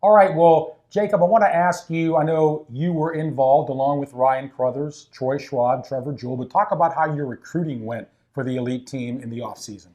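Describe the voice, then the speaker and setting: A man's voice: mid-range voice, fairly even intonation, moderate pace, few pauses, darkish tone. A man hosting a casual sports interview in a coach's office at an ice rink, upbeat and chatty with fillers. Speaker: team broadcaster and host.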